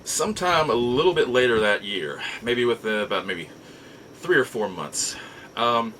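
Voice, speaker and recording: A middle-aged American man telling nostalgic personal stories in a casual, halting, reflective way with drawn-out pauses. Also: mid-range voice, expressive, fairly bright tone, a little hiss or hum, rough recording. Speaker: podcast host. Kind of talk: casual nostalgic storytelling monologue.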